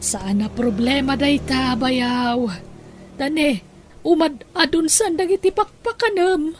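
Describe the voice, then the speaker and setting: A woman playing a kindly, generous sister-in-law, talking gently and solicitously in an audio drama. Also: high-pitched, takes it slowly, a little hiss or hum. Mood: concerned. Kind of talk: conversational audio drama acting.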